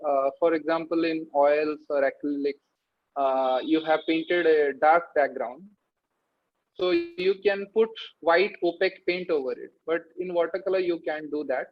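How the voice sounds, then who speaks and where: Male interview guest, thirties, Indian accent, higher-pitched, halting casual speech, dull lo-fi sound. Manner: halting, hesitant delivery with many pauses.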